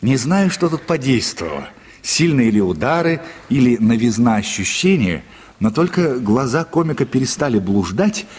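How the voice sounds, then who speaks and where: A man's voice: mid-range voice, darkish tone, slightly rough. A man narrating a literary short story for a radio play in a dramatic storytelling style.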